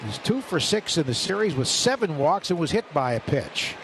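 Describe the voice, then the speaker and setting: A man's voice: fairly high pitch, very animated. Man calling baseball on radio. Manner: brisk, detailed calling that rises with the action. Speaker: play-by-play announcer. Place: ballpark broadcast booth. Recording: radio broadcast with background noise.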